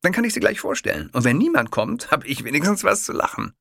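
A chatty middle-aged kiosk owner rattles on eagerly, stumbling and repeating himself, as a man puts him on. His voice sits high and bright and swings up and down a lot. The sound is dry and close. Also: big pitch swings, creaky, dry recording, exaggerated voice. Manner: eager, rapid, stumbling over words.

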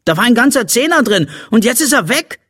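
A man as a lively, self-important little boy. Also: a little high, measured pace, slightly rough, dry recording, studio quality, full character voice. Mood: indignant. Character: grumpy, know-it-all little boy, big brother. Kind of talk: animated children's audiobook character voice.

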